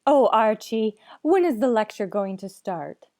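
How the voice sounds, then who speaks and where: A woman's voice: fairly high pitch, animated, a little slow, mellow, no echo, clean studio sound. An actress playing a genteel, gushing literary society lady, effusive and proper, in old-time radio comedy style. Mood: eager, fawning.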